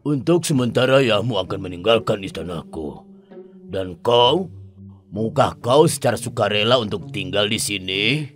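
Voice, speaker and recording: A man playing a gentle, lovelorn beast in a cartoon fairy tale, polite, earnest and measured. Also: fairly low pitch, expressive, faint music behind, natural voice. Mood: courteous. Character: gentle, courteous, lovelorn male beast in a castle.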